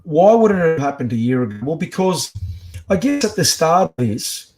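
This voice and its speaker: An Australian man in his forties with a slightly croaky voice, giving an earnest, impassioned speech as a guest on a video-call live stream. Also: fairly high pitch, big pitch swings, normal speed, a little mellow. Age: 40s. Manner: earnest, rising with emphasis. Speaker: guest speaker, activist.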